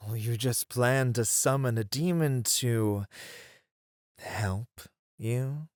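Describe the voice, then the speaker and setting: A man playing a charming, smug male incubus demon in a flirty ASMR roleplay, with a teasing, drawn-out delivery. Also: medium pitch, no echo, studio quality, lightly acted. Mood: playful, mocking.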